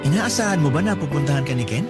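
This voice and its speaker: Man playing insecure young filipino guy in radio drama, talks fast, loud music behind him. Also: a little high, animated, close to an everyday voice. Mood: gentle, awkward. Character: insecure young man, self-deprecating, loyal secretly smitten friend.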